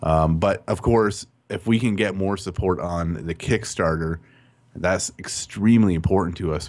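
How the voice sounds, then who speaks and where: A man with a Canadian accent and a very deep voice talks about his game in a casual interview. He speaks calmly and evenly. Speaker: game developer guest.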